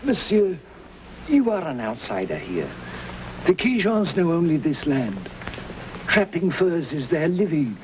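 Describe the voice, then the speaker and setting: An elderly village priest, from a vintage Western. He pleads gently and expressively. The old soundtrack carries clear noise. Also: fairly high pitch, very animated, a little slow, long pauses, highs cut off. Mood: pleading. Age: older. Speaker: actor playing a village priest. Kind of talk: 1950s Western screen acting.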